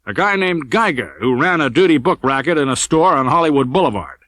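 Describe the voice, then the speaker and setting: A man's voice: on the high side, animated, fairly quick, fairly bright tone, no echo, studio quality. A man voicing a brash, wry, tough-talking male police detective in an audiobook crime story. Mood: pleased, explanatory.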